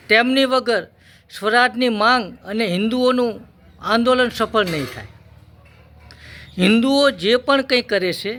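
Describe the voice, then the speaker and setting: A man giving a formal speech in deliberate, emphatic, measured phrases. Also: high-pitched, bright, forceful tone. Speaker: speaker for a Buddhist vihara. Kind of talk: formal public address, historical oratory.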